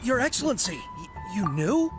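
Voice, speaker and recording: High-pitched, fervent young male soldier. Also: very animated, moderate pace, over music. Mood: desperate, pleading. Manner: earnest, pleading. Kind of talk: scripted video game voice acting.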